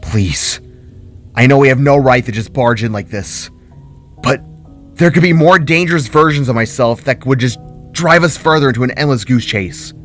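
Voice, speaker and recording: A man voicing an earnest, caring male spark, a desperate father in a fantasy story, with a halting, gentle delivery. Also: mid-range voice, soft background music, lightly acted. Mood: pleading.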